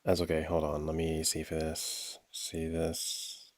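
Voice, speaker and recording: A man chatting casually on a livestream while he works, thinking aloud in a halting, rambling way with repeated phrases. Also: very deep voice, completely flat delivery. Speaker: livestream host and audio drama producer.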